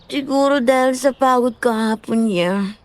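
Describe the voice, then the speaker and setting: A woman's voice: a little high, measured pace, dry recording, lightly acted. A woman playing a polite, slightly anxious young city woman in an audio drama, hesitant and apologetic. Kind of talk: dramatised audio horror story acting.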